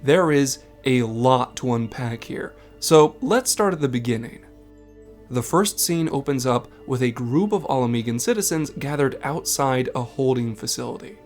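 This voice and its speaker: A man's voice: mid-range voice, expressive, normal speed, faint music behind. A man delivering scripted narration as the host of a video essay. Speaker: video essay narrator and game content creator.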